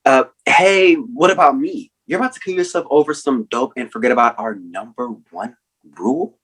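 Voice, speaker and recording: A man's voice: medium pitch, moderate pace, a bit bright, lightly acted, low-quality recording. A man playing a blunt, casual, sardonic best friend in a comic staged reading. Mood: cajoling.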